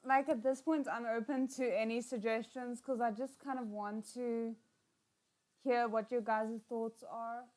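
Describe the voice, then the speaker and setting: Woman, fairly high voice, livestream host, casual chat, informal, encouraging, self-deprecating. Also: fairly even intonation, a little slow, darkish tone. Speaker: host of a live watchalong reaction stream.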